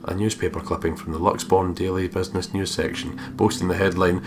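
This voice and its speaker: A man's voice: low voice, flat delivery, brisk. A man hosting a let's play, reading a visual novel aloud with jokey, sweary asides. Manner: jokey, swears freely, breaks off into ad-libs. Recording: game music behind the voice.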